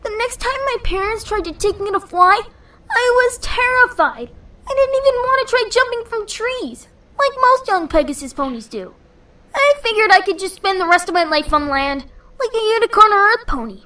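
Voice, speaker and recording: A woman voicing a brash, proud young female pegasus pony who coaches and encourages in an animated story reading. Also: very high voice, normal speed, heavily acted. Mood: earnest, reflective. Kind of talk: animated fan fiction audio reading.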